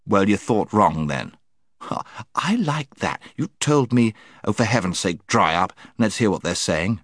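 A man voicing a touchy, brisk schoolboy in a storybook reading. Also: on the low side, sing-song, dry recording, studio quality. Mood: irritated. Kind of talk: storybook character voice.

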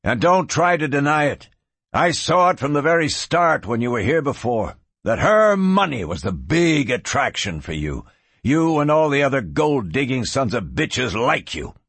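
A man's voice: mid-range voice, very animated, moderate pace, fairly bright tone, husky, no echo, heavily acted. Man acting out a sneering, hot-tempered Western cattle baron. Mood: accusing. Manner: sneering, domineering, explosive. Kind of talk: dramatised audiobook reading.

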